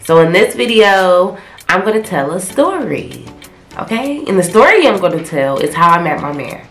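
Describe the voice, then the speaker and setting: A woman's voice: fairly low pitch, big pitch swings, normal speed, a bit bright, reverberant, soft background music. A young woman from Ohio with a stuffy, congested cold voice, chatting casually to camera while telling a story. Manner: loose, slangy, talkative, gestures a lot. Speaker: vlogger. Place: small bedroom in front of a pink wall. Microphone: camera a few feet away.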